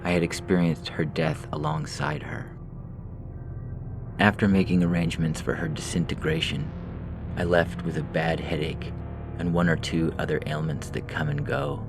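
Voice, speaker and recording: Very deep, gravelly male story narrator. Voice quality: gravelly, very low voice. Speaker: podcast reader and short story author. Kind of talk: scripted narration of science fiction stories. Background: music under the voice.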